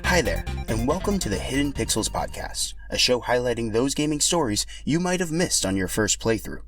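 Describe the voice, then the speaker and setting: A man's voice: medium pitch, sing-song, fairly quick, over music. A man hosting a podcast and reading scripted narration as a storyteller.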